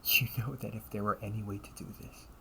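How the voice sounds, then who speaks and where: A man playing a villainous older brother, tender, remorseful and obsessively resolved, in a hushed, deliberate audio roleplay monologue. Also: on the low side, fairly even intonation, vocal fry, slight background noise, low-quality recording. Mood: grave confession. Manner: slow, hushed, often whispering, deliberate pauses.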